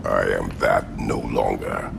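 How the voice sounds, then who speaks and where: An actor playing a grim, stoic Spartan warrior god in a video game, speaking in a terse, stern and weighty way. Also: male voice, very deep voice, fairly even intonation, slow, gravelly, noisy background, heavily acted. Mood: stern, guarded. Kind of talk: video game cutscene acting.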